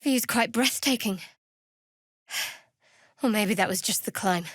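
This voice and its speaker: An actress playing a guarded, sardonic young woman cleric of a dark goddess in a video game. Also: mid-range voice, slightly rough, no room sound. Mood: wry, softened. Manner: dry, sardonic, measured. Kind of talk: video game character dialogue.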